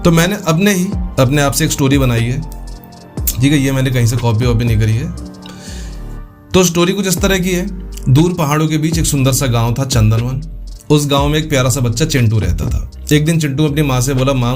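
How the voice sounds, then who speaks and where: A man explains things casually, step by step, as a tutorial host. His pitch is average and faint music sits behind him. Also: a little fast, a little mellow. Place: voice-over for a computer screen recording.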